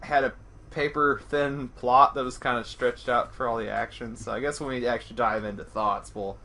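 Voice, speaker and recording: A man's voice: medium pitch, fairly even intonation, moderate pace, pushed and bright, slight background noise. A man co-hosting a podcast, talking through a film in a casual, rambling, self-deprecating way. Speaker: podcast co-host.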